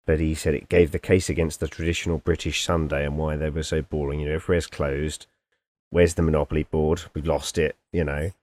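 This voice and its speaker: A man's voice: rumbling low, flat delivery, a little fast, a little mellow. A man hosting a podcast, chatting casually and rambling with plenty of fillers. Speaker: podcast host. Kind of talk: casual chat.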